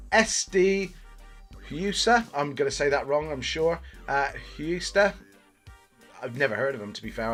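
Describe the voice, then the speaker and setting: A man's voice: medium pitch, a bit bright. A man from Bristol with a local accent, giving rambling, self-deprecating, mildly sweary commentary as host of a gaming video. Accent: Bristol English. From Bristol, England. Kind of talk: casual video game commentary.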